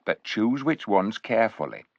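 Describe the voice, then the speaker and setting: Casting: a man playing a haughty plotting captain, formal and cold, lowish voice, dull sound. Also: big pitch swings, normal speed, dry recording. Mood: lofty. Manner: lofty, formal, condescending. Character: cold, scheming foreign army captain. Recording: dull, dry recording.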